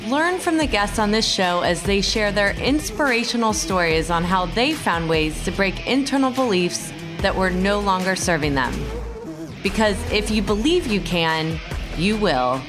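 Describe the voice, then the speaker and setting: A woman hosting a podcast, reading a scripted intro and then interviewing a guest in a measured, encouraging way. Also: mid-range voice, lively intonation, music in the background. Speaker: podcast host.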